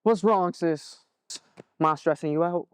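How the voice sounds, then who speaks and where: A man's voice: a little high, a little slow, clean tone, dry recording, clean studio sound, close to an everyday voice. A man with an American accent playing a concerned, easygoing older brother in a stage play, speaking casually. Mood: casual, caring.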